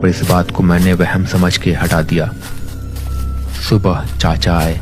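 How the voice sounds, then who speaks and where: A man narrating a horror story in the first person, steady and suspenseful. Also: low-pitched, measured pace. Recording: narration over loud music. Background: music.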